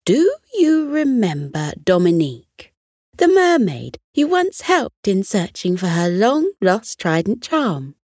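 Bedtime story head teacher woman performer: female, kindly, formal, measured, animated intonation. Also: mid-range voice, dry recording, clean studio sound. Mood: kindly, reassuring. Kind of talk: children's bedtime story dialogue.

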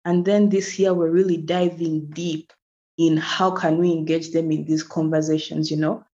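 A woman's voice: sits low, level tone, normal speed, warm tone. Ugandan woman guest, young, earnest explainer, muffled lo-fi line. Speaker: guest, climate activist. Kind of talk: casual interview chat.

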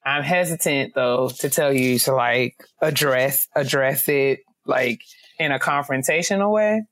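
A woman's voice: a bass voice, unhurried, no room sound. A woman co-hosting a casual podcast chat, who speaks hesitantly and weighs her words. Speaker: podcast co-host.

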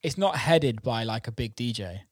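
A man's voice: medium pitch, animated, moderate pace, no room sound, clean studio sound. A man interviewing someone in a casual chat, enthusiastic, informal and sweary. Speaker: interviewer, DJ and label owner.

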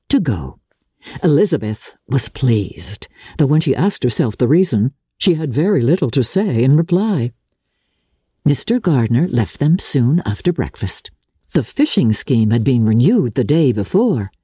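Husky, low-voiced woman reading with expressive swoops. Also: no echo, studio quality. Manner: measured, expressive, formal storytelling delivery. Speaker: audiobook narrator. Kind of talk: dramatic reading of classic literature.

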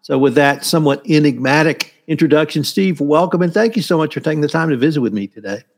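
A man's voice: a little high, fast talker. A man in his sixties hosting a podcast interview and asking questions in a friendly, conversational way. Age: 60s. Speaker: podcast host.

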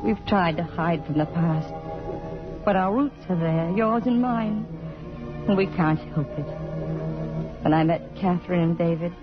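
An actress playing a wistful, self-sacrificing lover in a 1950s radio drama, speaking in a measured, earnest way. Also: female voice, on the low side, natural voice. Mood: resigned, tender. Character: wistful, self-sacrificing woman in a doomed love affair. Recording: dull vintage radio, music behind.

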